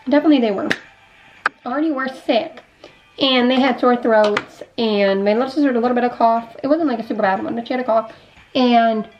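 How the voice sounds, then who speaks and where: Young woman, fairly high pitch, slightly congested and sick with a cough, casual rambling vlog chat, dull lo-fi audio. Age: young adult. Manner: rambling, repeats and restarts thoughts. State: sick with a cough and sore throat. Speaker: vlogger. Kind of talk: casual chatty life update while doing makeup.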